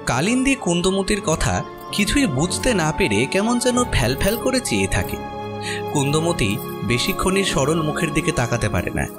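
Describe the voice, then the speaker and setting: A man narrates a horror tale in an expressive storytelling style. His voice is fairly high and moves animatedly in pitch, with faint music behind it. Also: normal speed.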